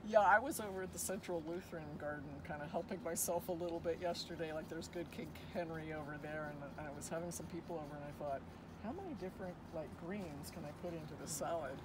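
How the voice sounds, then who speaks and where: A woman's voice: low voice, fast. Earnest older woman outdoors over traffic. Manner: earnest, gently spiritual, chatty. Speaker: foraging class co-host. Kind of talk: outdoor class talk. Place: outdoor garden under a canopy. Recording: outdoor, traffic noise behind.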